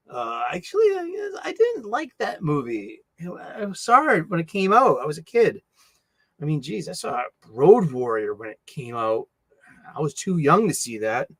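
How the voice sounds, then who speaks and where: A man's voice: on the high side, gravelly, no echo. A middle-aged man with a Boston accent hosting a casual livestream, rambling and mumbling with many restarts and fillers. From Boston, USA. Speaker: livestream host. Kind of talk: casual chat.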